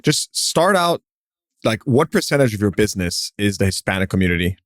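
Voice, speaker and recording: Low-voiced man hosting a podcast. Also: big pitch swings, a little fast, no room sound, clean studio sound. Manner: curious questioning, loose with fillers like "you know" and "like". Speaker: podcast host. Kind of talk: podcast interview.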